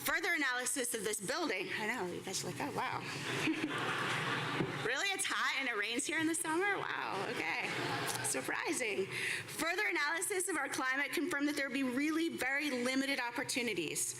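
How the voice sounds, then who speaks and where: A woman's voice: sits high, lively intonation, moderate pace. A woman giving a prepared presentation as a team representative, with an enthusiastic, conversational manner and informal asides. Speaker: baseball team representative presenting at a press conference. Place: indoor press conference room before an audience. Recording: live room with clear background noise.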